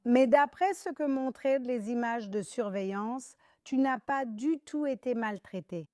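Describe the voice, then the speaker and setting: Woman from Iran, talk show host, emphatic and sarcastic, clear higher voice, dry studio. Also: measured pace, darkish tone, dry recording. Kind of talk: panel talk show discussion.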